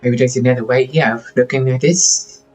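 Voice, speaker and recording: A man's voice: medium pitch, fairly even intonation, brisk, husky. A man reading dialogue choices aloud on a live game stream. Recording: game music behind the voice.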